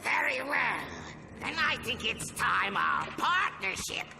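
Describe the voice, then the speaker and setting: A man's voice: very high-pitched, big pitch swings, takes it slowly, vocal fry, full character voice. A man voicing a megalomaniac, petulant robot mad scientist in a video game, theatrical and sneering. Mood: menacing. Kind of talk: animated video game cutscene acting. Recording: loud music over the voice.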